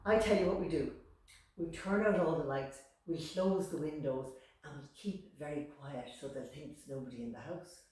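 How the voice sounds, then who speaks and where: A woman's voice: sits low, normal speed, darkish tone, close to an everyday voice. A woman voicing a weary, dismissive village mother in a folk tale, humouring or grumbling. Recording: echoey room, distant microphone.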